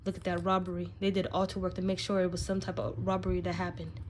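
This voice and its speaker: A woman's voice: lowish voice, completely flat delivery, fast talker, noisy background. A woman giving a casual spiritual card reading, speaking directly to the listener in an emphatic, slangy, profane way. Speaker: tarot card reader, channel host.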